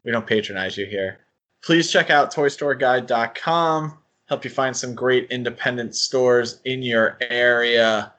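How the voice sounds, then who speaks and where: A man's voice: medium pitch, measured pace, a bit bright. A man hosting a podcast in a casual, conversational chat style. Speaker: podcast host.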